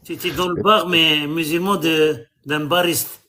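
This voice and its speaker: Bright-voiced Algerian man hosting. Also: fairly high pitch, steady tone, unhurried, slight background noise. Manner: pointed, mocking questions. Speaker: live stream host. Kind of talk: provocative live chat questioning callers.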